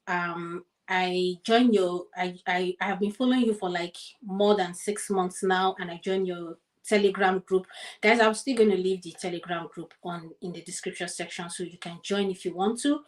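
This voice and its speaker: A woman's voice: lowish voice, steady tone, normal speed. A woman hosting an interview on her channel, friendly and enthusiastic as she welcomes and questions her guest. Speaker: host. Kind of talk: interview, casual chat.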